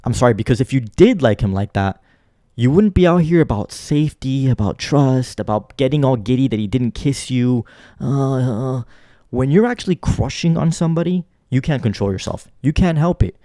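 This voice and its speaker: A man's voice: mid-range voice, lively intonation, normal speed, mellow, dry recording, studio quality. A man reacting to a clip in blunt commentary, lecturing and repeating his points for emphasis.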